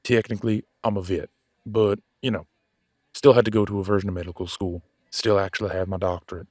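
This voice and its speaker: A man playing a cocky, casual werewolf veterinarian in an audio drama, gruff but soft-hearted, with a streetwise way of talking. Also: fairly low pitch, steady tone, brisk, no echo, studio quality, natural voice. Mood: smug, amused. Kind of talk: audio roleplay drama dialogue.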